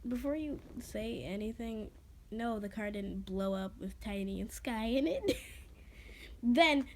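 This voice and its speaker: High-voiced woman reading aloud, jokey and stumbling. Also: slight background noise. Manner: self-deprecating, jokey, stumbling over words. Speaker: amateur reader of own creative writing. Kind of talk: dramatic reading with casual asides.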